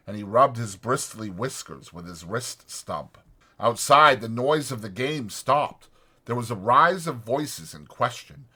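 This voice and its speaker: Guy reading a novel out loud, dramatic narration, crisp forceful tone. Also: on the low side. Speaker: audiobook narrator.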